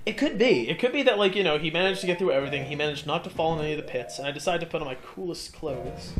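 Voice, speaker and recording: A man's voice: a little high, very fast, fairly bright tone. A man doing casual, joking game commentary as the host of a let's play. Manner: joking, bantering. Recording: game music behind the voice. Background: video game music.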